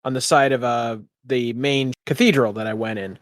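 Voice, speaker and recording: A man's voice: mid-range voice, fairly even intonation, moderate pace, no room sound. Male game master, mid-range voice in a dry space, casually explaining and narrating.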